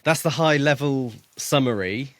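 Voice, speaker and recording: A man's voice: mid-range voice, a little slow, dry recording. A man co-hosting a book podcast, summarizing and chatting casually with stammering restarts. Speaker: podcast co-host.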